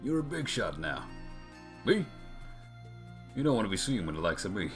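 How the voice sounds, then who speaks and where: A man voicing a gruff, modest, loyal mercenary from a video game, plain-spoken, folksy and reassuring. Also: on the low side, big pitch swings, moderate pace, faint music behind. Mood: reassuring, earnest. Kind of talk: acting out video game dialogue.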